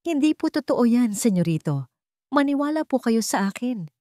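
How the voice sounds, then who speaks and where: A woman's voice: on the high side, big pitch swings, moderate pace, warm tone, no echo, clean studio sound, voice pushed up. A woman voicing a frightened, deferential housemaid who pleads her innocence in a dramatic audio story. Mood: tearful pleading. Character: frightened, pleading young housemaid wrongly accused.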